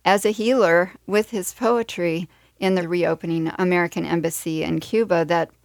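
Woman radio host doing an interview, bit low voice, halting careful phrasing, dry sound. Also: steady tone, vocal fry, no room sound. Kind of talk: conversational live interview hosting.

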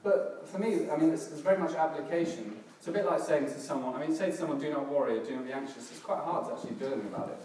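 A middle-aged man gives a laid-back sermon, his voice a little hoarse and crackly. He talks in a self-deprecating way, full of pauses and restarts, at an everyday pitch. He is picked up from a distance in a very echoey hall with background noise. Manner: relaxed, self-deprecating, hesitant with fillers and restarts. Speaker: preacher. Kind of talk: casual church sermon. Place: church hall, in front of a congregation.

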